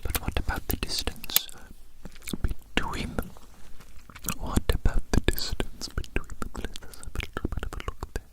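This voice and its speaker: A person whispering gently and breathily in an ASMR roleplay, soothing and often softly repeating phrases. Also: normal speed, rough recording. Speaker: ASMR performer playing an examiner measuring a little creature.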